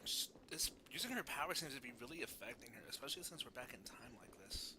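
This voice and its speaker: A man's voice: mid-range voice, animated, fast, noisy background. A man giving casual gameplay commentary as a streamer, often muttering to himself. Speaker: game streamer. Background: loud game music.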